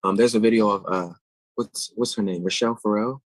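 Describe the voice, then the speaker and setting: A man's voice: lowish voice, steady tone, brisk, no room sound, rough recording. A man, a singer answering interview questions and explaining vocal technique thoughtfully and at length. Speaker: guest singer.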